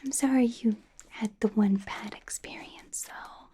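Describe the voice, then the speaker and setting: A woman playing a possessive, doting female vampire boss in a whispered ASMR roleplay, coaxing softly with a sweetly sinister edge. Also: a little high. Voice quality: breathy, whispered. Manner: slow, coaxing, soft giggly delivery.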